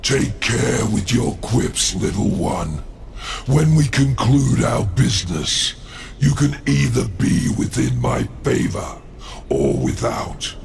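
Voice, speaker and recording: An actor playing a proud, scheming demon lord in a video game, with a gravelly growl and a slow, formal, condescending way of talking. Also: male voice, mid-range voice, very animated, a little mellow, a little hiss or hum, heavily acted. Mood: ominous, condescending. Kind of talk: video game cutscene acting.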